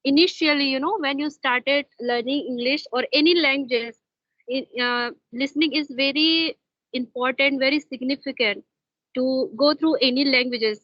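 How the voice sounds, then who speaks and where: A woman's voice: high-pitched, normal speed, no room sound, muffled recording. A woman with an Indian accent who hosts an online English practice talk, speaking hesitantly with many fillers and restarts. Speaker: host interviewing a fellow learner.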